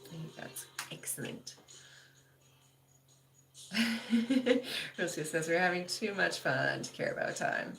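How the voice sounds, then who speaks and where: Woman in her 30s chatting on a livestream, kinda gravelly, lower voice but bouncy, laughs a lot. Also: lively intonation, takes it slowly, long pauses, a bit bright. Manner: chatty, laughs often, reads comments aloud. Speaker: livestream host reading chat. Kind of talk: casual live chat.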